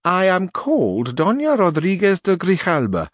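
A shrill, scolding old duenna, her voice leaping high and loud as she snaps at a servant , as a male reader puts her on. The sound is close and dry, with a slightly husky edge. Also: wildly swooping pitch, moderate pace, no echo, exaggerated voice. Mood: haughty. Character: indignant old woman duenna, sharp-tongued.

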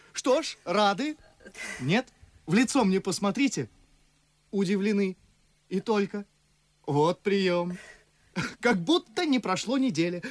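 An actor playing an ardent, witty, mocking young man in love in a verse radio play, with theatrical delivery. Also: high voice. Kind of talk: classic verse stage acting for radio. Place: radio studio.

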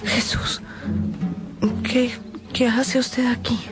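A fervent, easily flustered young wife in a radio drama. Her voice is fairly high and breathy with emotion, over clearly audible background music. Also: little pitch movement, drawn-out words.

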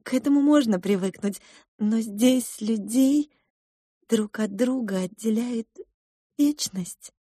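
A fretful, melodramatic royal cook voiced by a woman lets her words trail off in hushed, drawn-out sighs. The delivery is unhurried, and the sound is dry and close to the mic. It reads like a character in a storybook. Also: fairly high pitch, breathy edge, no room sound, pitched above the performer's own voice. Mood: sighing, hushed.

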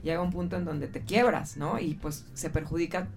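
A woman's voice: on the low side, moderate pace, vocal fry, darkish tone, soft background music. A woman with a Mexican Spanish accent hosting a radio talk show, chatting informally and prompting her guests. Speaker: radio host.

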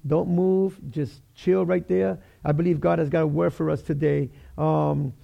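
Middle-aged Bronx-raised pastor, New York accent, high voice, chatty and joking from the pulpit. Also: male voice, steady tone, a little slow, creaky, muffled recording. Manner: chatty, joking, many fillers and restarts. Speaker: guest pastor. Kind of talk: casual sermon.

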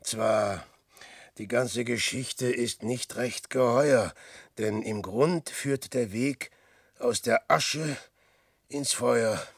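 An actor playing a despairing, boastful old man facing death, declaiming verse in a brooding, theatrical radio drama style with a gravelly, husky voice. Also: mid-range voice, very slow, no echo, studio quality, full character voice. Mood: wry, uneasy. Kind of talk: 1960s German radio drama verse acting.

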